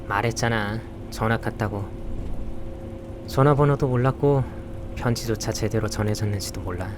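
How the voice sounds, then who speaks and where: A man acting a dry, grumbling young man in a visual novel, curt and deadpan, with rain and crickets behind him. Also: on the low side, normal speed, over music, close to an everyday voice. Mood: curt, defensive. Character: dry, grumbling young man, reluctant occult investigation helper. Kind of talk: visual novel acting with first-person narration.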